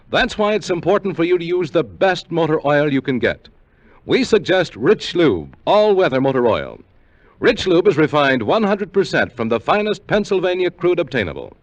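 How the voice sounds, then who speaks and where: A man's voice: fairly high pitch, sing-song, moderate pace, no echo. An American man working as a 1950s radio announcer, reading scripted promotion and credits. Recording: muffled vintage 1950 radio recording.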